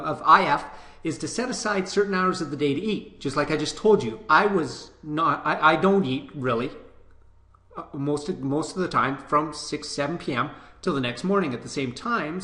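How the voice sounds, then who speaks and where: A middle-aged Canadian man with a bright, forceful voice and a slightly higher pitch, a little stuffy from a cold. He coaches straight to the camera in a direct, no-nonsense way. The sound carries a roomy echo. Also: lively intonation, normal speed. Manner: direct, coaching, frequent "okay?" check-ins. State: nasal from a cold, sniffling. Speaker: personal trainer. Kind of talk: casual talk to camera.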